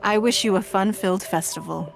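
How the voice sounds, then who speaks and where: A woman's voice: mid-range voice, fairly quick, vocal fry, over music, lightly acted. An actress playing a poised, elegant female leader in a video game, formal and composed.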